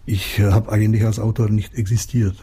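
Low, husky man with a Czech accent. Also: flat delivery, brisk, warm tone. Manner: hesitant, many fillers and restarts. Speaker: writer, interviewee. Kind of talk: interview answers.

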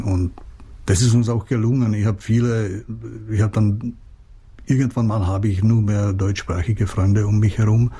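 A man's voice: lowish voice, mellow, husky. A middle-aged Czech-born man with a Czech accent, a writer answering interview questions hesitantly with many fillers and restarts.

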